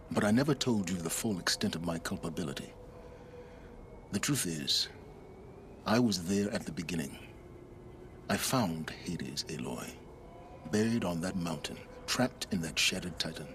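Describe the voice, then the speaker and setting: An actor playing an enigmatic, cynical older male scholar in a video game cutscene, eloquent and measured. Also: low-pitched, background noise. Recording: loud music behind the voice.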